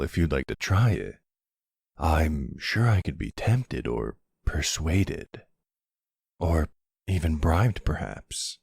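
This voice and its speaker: Man playing a deep, gravelly flirty mafia boss. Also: a little mellow, dry recording, clean studio sound, exaggerated voice. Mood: playful teasing.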